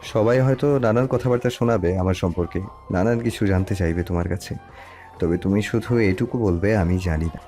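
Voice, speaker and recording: A man playing a protective husband in an audio story, speaking softly with gentle authority as he instructs his wife. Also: deep voice, a little fast, soft background music, close to an everyday voice. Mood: calm, instructing. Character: soft-spoken, protective, older husband instructing young wife. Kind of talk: Bengali audio story acting.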